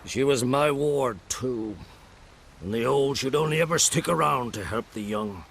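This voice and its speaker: An actor playing a kindly old druid healer in a video game, speaking in a formal, measured, avuncular way. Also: male voice, mid-range voice, creaky, a bit bright, slight background noise. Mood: solemn, reassuring. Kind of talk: dramatic video game voice acting.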